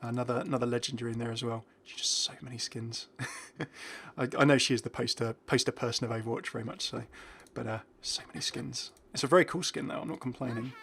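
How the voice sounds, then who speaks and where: A man's voice: mid-range voice, steady tone, fast talker, gravelly, fairly bright tone, slight background noise. A man presenting a video game review in a casual, chatty way. He rambles through his commentary in a mid-range voice, often checking in with small tag questions.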